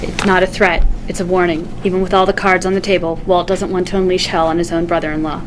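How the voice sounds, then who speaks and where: A woman reading stage directions at a table read as dramatic scripted narration, vivid and deliberate. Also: fairly low pitch, level tone, noisy background.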